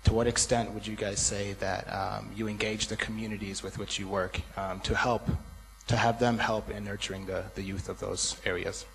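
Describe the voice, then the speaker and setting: A man's voice: lowish voice, flat delivery, normal speed, gravelly, few pauses, fairly bright tone, soft background music. A man from the audience politely asking the panel a question, with hesitant fillers. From United States. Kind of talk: question from the floor. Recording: reverberant hall with faint hum.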